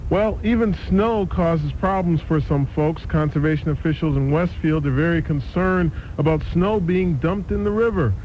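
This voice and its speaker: A man reads a scripted local radio newscast. His voice sits a little high for a man, on an old aircheck tape with faint noise and rumble. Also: moderate pace, hardly any pauses, darkish tone, rough recording.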